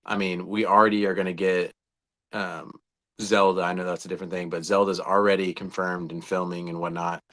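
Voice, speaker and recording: A man's voice: low voice, little pitch movement, brisk, fairly bright tone. A man, perhaps in his thirties or older, co-hosting a casual movie discussion with an enthusiastic, rambling fan's delivery. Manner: enthusiastic, rambling fan talk. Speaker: podcast co-host.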